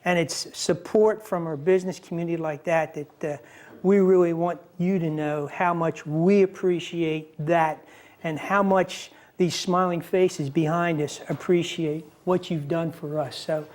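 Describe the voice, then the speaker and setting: A man's voice: fairly high pitch, steady tone, a little slow, darkish tone. Effusive male telethon host. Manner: friendly and effusive, with frequent "uh" fillers. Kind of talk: live telethon hosting. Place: television telethon studio.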